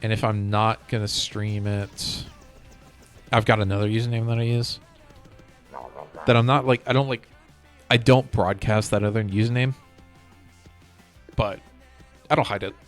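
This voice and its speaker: A man's voice: on the low side, fairly even intonation, a little slow, long pauses, faint music behind. A man chatting casually on a gaming live stream, rambling as he hops from one thought to the next. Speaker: game streamer.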